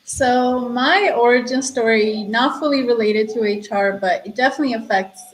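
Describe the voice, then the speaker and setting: A woman from Romania co-hosting a podcast, telling her story in a relaxed, reflective way with light laughs. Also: a little high, moderate pace, roomy sound, low-quality recording. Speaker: co-host, HR consultant. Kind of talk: casual podcast chat.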